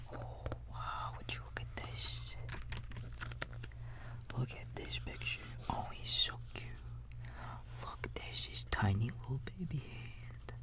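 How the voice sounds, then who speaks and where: A man whispering an ASMR bedtime story as a babysitter, soothing and casual, with rambling asides to a child listener. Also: medium pitch. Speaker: ASMR roleplayer playing a babysitter.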